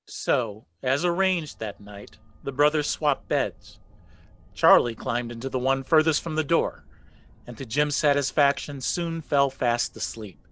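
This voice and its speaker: A man narrating a spooky folk legend for a radio play with measured, theatrical storytelling. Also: medium pitch, a bit bright, natural voice. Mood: suspenseful. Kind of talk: scripted dramatic narration.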